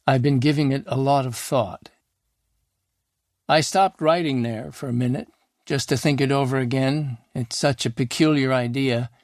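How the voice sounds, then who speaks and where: A man's voice: mid-range voice, fairly even intonation, moderate pace, a little mellow, no room sound, pitched above the performer's own voice, natural voice. A wry, reflective old man, as a male narrator puts him on, owns up to his regrets in a rueful letter. His voice sits mid-height and plain, the sound dry and close. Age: older.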